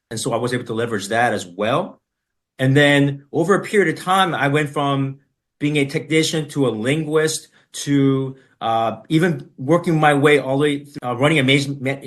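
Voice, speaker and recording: Relaxed middle-aged man telling his story. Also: medium pitch. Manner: relaxed, many fillers and tag questions. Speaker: podcast guest. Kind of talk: conversational storytelling.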